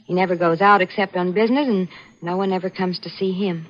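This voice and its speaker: A woman's voice: lowish voice, fairly even intonation, fast talker, lightly acted. An American actress playing a housekeeper wife who coolly plots with her crooked husband, clipped and insistent in 1940s radio thriller style. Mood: cool, persuasive. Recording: muffled vintage radio recording.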